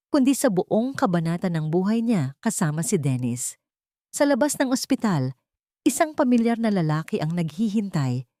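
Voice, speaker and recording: A woman's voice: mid-range voice, mellow, dry recording, clean studio sound. A woman reading a scripted dramatic story as an audiobook narrator.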